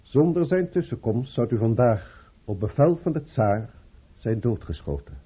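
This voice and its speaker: A dutiful aristocratic officer in a vintage radio drama. His delivery is formal yet animated, in a very husky voice. The sound is narrow and muffled. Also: medium pitch, a little slow. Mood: dutiful, firm. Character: dutiful, formal count delivering orders to royalty. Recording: muffled, phone-like old radio play recording.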